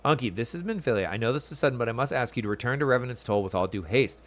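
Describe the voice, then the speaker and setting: A man voicing a composed, authoritative woman leader from a fantasy game in a formal, measured way. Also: mid-range voice, animated, close to an everyday voice. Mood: grave, urgent.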